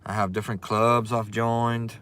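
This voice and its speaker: A man's voice: on the low side, moderate pace, a little hiss or hum. Cajun man chatting on a livestream. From Louisiana. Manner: relaxed, chatty, reads and greets viewers' comments. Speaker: livestream host. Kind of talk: casual livestream chat.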